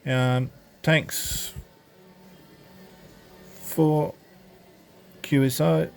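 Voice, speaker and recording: A man's voice: fairly high pitch, steady tone, very slow, creaky, darkish tone, soft background music. A man giving an informal, unscripted product tutorial, explaining step by step in a casual, hesitant way. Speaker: presenter of an amateur radio gadget demo.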